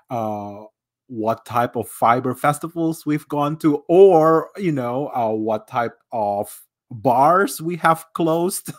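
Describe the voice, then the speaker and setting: A man hosting a casual podcast, rambling warmly with many fillers, restarts and laughs. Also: medium pitch. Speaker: podcast host.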